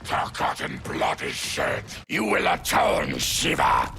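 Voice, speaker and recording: An actor playing a proud, wrathful warrior emperor in a video game cut-scene, with a grave, formal, forceful manner. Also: male voice, a bass voice, sing-song, slow, vocal fry, slight background noise, heavily acted.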